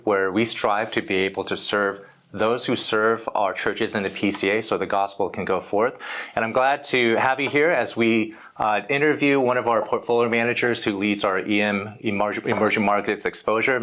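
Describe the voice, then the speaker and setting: A man's voice: lowish voice, fairly bright tone. A man hosting an interview as an investment specialist, polite and supportive, with many listening murmurs.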